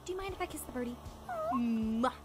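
A woman's voice: a little high, expressive, brisk, darkish tone, lightly acted. A woman voicing a flamboyant, mischievous, theatrical trickster creature in a fan cartoon. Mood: playful showboating. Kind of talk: fan animated cartoon dialogue. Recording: music under the dialogue.

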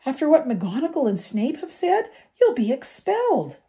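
A woman voicing an anxious, studious young schoolgirl in a storybook reading, with a fretful, high-strung manner. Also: wildly swooping pitch, moderate pace, mellow, exaggerated voice. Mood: alarmed.

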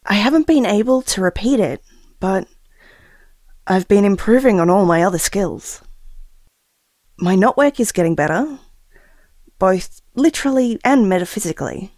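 A woman's voice: medium pitch, animated, normal speed, long pauses, darkish tone, no echo, studio quality, close to an everyday voice. A woman playing an earnest expectant mother in an audio drama, confiding reflectively and hesitantly as if to a taped diary. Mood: earnest, quietly hopeful.

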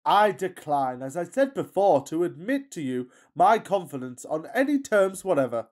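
A man playing a pompous, lovestruck Victorian gentleman in a farcical radio play, declaiming in florid, earnest speeches. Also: on the high side, very animated, moderate pace. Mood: scornful.

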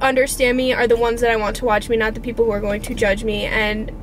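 A young woman with a high voice talks casually to the camera like a confessional vlogger. She is earnest and informal, with the odd mild swear. The recording is made in a car, with clear background noise around her voice. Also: flat delivery, fast. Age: 20s. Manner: earnest, informal, mildly profane. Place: inside a parked car.

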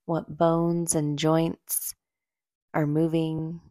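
Slow, mellow woman guiding meditation. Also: sits low, level tone, no room sound, clean studio sound. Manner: gentle, soothing, unhurried instructing with long pauses. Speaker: guided meditation instructor. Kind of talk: slow guided meditation narration.

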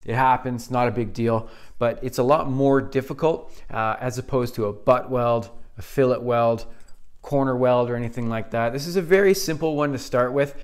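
A man's voice: medium pitch, normal speed, clear, resonant tone. A man in his thirties giving a laid-back, chatty welding tutorial in his small home workshop. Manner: laid-back, chatty, says "pretty simple" a lot. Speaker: welding instructor.

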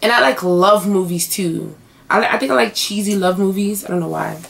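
A young woman vlogging in a small bedroom, hoarse and chatty as she answers tag questions to her webcam. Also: mid-range voice, moderate pace. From United States. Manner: chatty, animated hand gestures. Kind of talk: casual chat.

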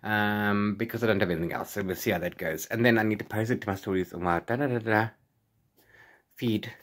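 A man's voice: on the low side, brisk, a bit bright. A man in his forties chatting casually to camera as a book vlogger, playful and rambling, often correcting himself, recorded at arm's length in a room at home. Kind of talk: casual unscripted chat to camera. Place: small room at home with bookshelves.

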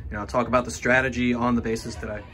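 Man moderator, formal announcer manner, crisp bright tone, off camera. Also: medium pitch, level tone, fast, slight background noise. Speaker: press conference moderator. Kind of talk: postgame interview questions.

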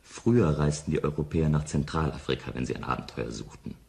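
Deep-voiced scornful cynic. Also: male voice, quick pace, gravelly, darkish tone. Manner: dismissive, argumentative. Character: cynical, scornful man disdainful of foreigners. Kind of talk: radio drama dialogue.

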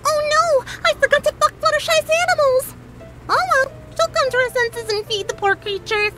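A woman voicing a bubbly, oblivious young female cartoon pony, excitable and chirpy. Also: very high-pitched, normal speed, faint music behind, pitched above the performer's own voice, rough recording. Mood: cheerful, oblivious.

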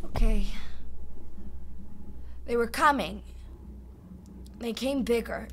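A woman's voice: on the high side, slow. An actress playing a traumatized young woman with the mind and simple speech of a child, in a radio drama. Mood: childlike, haunted. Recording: audible background noise.

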